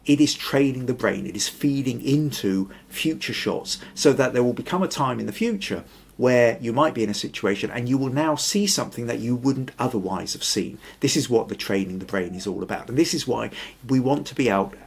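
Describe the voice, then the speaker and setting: A man's voice: mid-range voice, lively intonation, brisk, vocal fry, breathy edge. An older man hosting a photography podcast from a small home room, chatting in a thoughtful, rambling way and reading out viewer comments. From Scotland. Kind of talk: casual live-stream chat.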